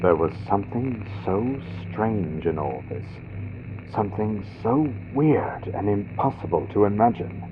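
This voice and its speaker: A man's voice: lowish voice, very animated, measured pace, muffled recording, background noise. A man narrating a gothic story as a dramatic scripted audiobook, with a vintage radio sound from a built-in computer microphone.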